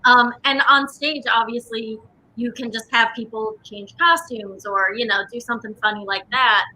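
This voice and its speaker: A woman with a strikingly bright, forward voice on the high side talks casually. She explains and tells anecdotes as a guest in an interview. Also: fairly even intonation, moderate pace, rough recording. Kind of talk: casual chat, telling anecdotes.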